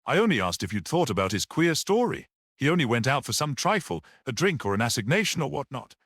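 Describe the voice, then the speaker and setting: An earnest priest-detective played by a male narrator in a mystery audiobook. He explains with rhetorical fervour and a very lively, swinging pitch. The sound is close and dry. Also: fast talker, breathy edge, dry recording, heavily acted. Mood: reflective, assured. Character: earnest priest-detective, gentle yet explosively passionate. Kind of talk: dramatic audiobook character reading.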